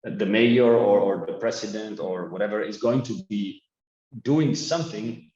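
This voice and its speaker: A man's voice: fairly low pitch, measured pace, dark tone. A man with an Italian accent, giving an earnest online conference talk with frequent restarts. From Italy. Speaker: presenting speaker. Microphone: video call. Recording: echoey, muffled video call.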